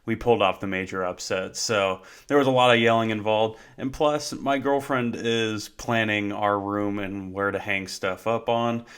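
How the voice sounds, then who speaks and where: A young man hosting a solo sports talk show, a little hoarse, with many fillers, and his pitch climbs when he rants in exasperation. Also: fairly even intonation, fairly bright tone. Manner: many fillers, unhurried delivery. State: slightly hoarse from yelling. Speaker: host.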